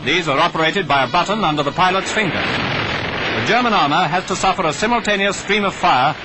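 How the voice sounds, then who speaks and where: A man's voice: sits high, expressive, a little fast, background noise. A man with a British RP accent delivering clipped, declamatory wartime newsreel commentary on an old 1940s soundtrack. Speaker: newsreel narrator.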